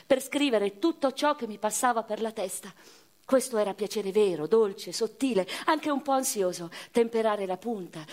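An actress playing a passionate, literary eighteenth-century noblewoman, telling her life in an expressive, reflective radio-theatre monologue. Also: fairly high pitch, lightly acted. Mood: tender, dreamy. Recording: music behind the voice.

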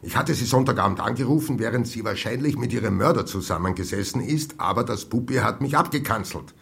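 An imperious old man in a radio play. His low voice creaks as he argues, indignant and haughty. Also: animated, fairly quick, fairly bright tone. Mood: defensive, haughty. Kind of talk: radio drama acting.

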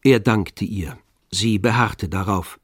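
A man narrating a literary radio play in a composed, measured manner. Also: fairly low pitch, breathy edge, no room sound, studio quality.

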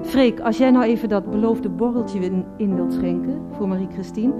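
A woman's voice: mid-range voice, moderate pace, over loud music. A woman presenting a radio play live as its director, addressing listeners and actors.

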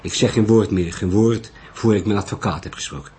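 A man's voice: on the low side, fairly even intonation, a little fast, mellow, slight background noise, lightly acted. Man acting a defiant, stubborn rich suspect. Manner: indignant, stubborn, emphatic. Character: defiant, wealthy round-faced male murder suspect.

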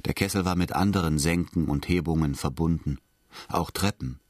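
A man's voice: a bass voice, moderate pace, darkish tone, dry recording. A man narrating a literary radio drama in a scripted, storytelling style. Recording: music bed under studio voice. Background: music.

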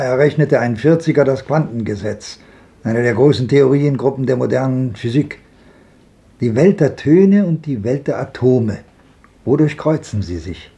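A man plays an ironic old gentleman in a 1950s radio play who declaims his thoughts about the world. His voice is mellow, mid-pitched and warm. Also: lightly acted. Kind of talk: 1950s radio drama acting.

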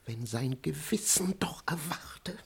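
Slow, creaky, whispering manservant. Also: male voice, a little high, lively intonation, full character voice. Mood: bitter, resigned. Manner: muttering slowly aside. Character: resentful, conscience-troubled manservant of a wicked master. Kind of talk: radio play acting.

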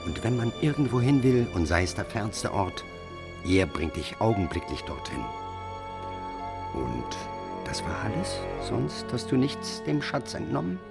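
An actor playing a cunning, covetous court schemer in a fantasy radio drama, speaking in an insinuating, probing way. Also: male voice, on the low side, very animated, unhurried, creaky, over loud music, exaggerated voice.

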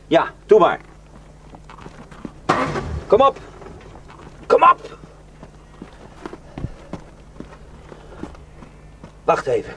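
A man's voice: mid-range voice, highs cut off. An actor in a radio drama playing a weary, bitter, well-travelled man who grimly warns of atrocities. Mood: strained, exasperated. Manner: grim, cursing, rambling storyteller. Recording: noisy radio play recording.

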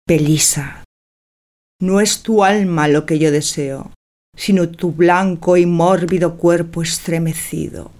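An actress playing a naive, lovestruck young wife, sighing and yearning in a theatrical audio drama. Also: female voice, lowish voice, steady tone, slow, a little mellow. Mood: sensual, rapturous. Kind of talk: theatrical radio drama acting.

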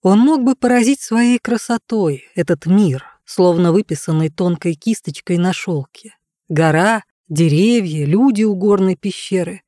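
A woman narrating a fairy tale as an audiobook reader, in an expressive scripted style. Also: on the low side, unhurried, no echo, studio quality.

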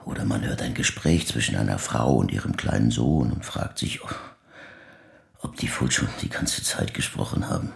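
A bereaved older man with an extremely low, gravel-filled voice. He reflects in measured, literary phrases, pensive and subdued, as in a radio drama monologue. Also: heavily acted. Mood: quietly accepting. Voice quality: very deep, gravelly, breathy at phrase ends. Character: grieving older man speaking reflectively in therapy.

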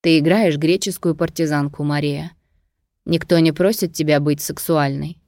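A man's voice: on the high side, steady tone, moderate pace, darkish tone, dry recording, studio quality, natural voice. A woman narrator voicing a domineering, possessive and impatient wealthy Greek lover in an audiobook. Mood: irritated.

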